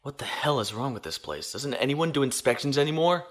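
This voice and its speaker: An actor playing a dutiful young naval officer who talks with wry, sardonic asides. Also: male voice, mid-range voice, big pitch swings, brisk, natural voice. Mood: tense.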